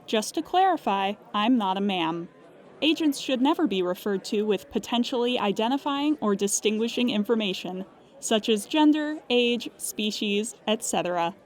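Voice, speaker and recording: Woman as calm, higher-voiced claims agent. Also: close to an everyday voice. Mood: patient, formal. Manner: polite, measured, procedural, matter-of-fact. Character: calm, formal, genderless insurance claims phone agent. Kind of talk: improvised comedic audio drama phone call.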